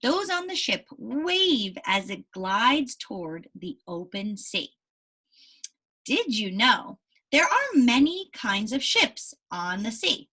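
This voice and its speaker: Animated, bright-voiced woman teaching young children. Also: fairly high pitch, takes it slowly, husky. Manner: instructive, checks understanding with "right?" and questions. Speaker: elementary school teacher. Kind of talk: reading a children's book aloud and explaining it to pupils.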